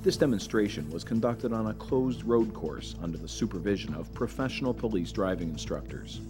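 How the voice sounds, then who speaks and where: A man giving measured, earnest scripted narration for a safety documentary. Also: lowish voice, animated. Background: background music.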